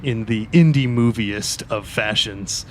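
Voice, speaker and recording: A man's voice: lowish voice, a little slow, a little hiss or hum. A man playing a tabletop roleplaying game, casually improvising narration of what his character does.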